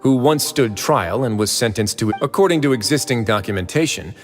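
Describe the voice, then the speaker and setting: An actor playing a worldly-wise prison warden in a video game, frank and reassuring, with a measured, matter-of-fact delivery. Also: male voice, medium pitch, animated, natural voice. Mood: composed, matter-of-fact. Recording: long reverb, music behind.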